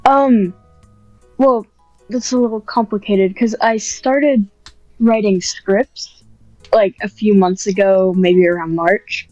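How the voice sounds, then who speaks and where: A woman's voice: medium pitch, steady tone, slow, darkish tone, no room sound. A woman guest on a podcast, talking casually and hesitantly about writing ASMR scripts, with lots of fillers and restarts. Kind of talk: casual interview chat. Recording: muffled, dry, faint music behind.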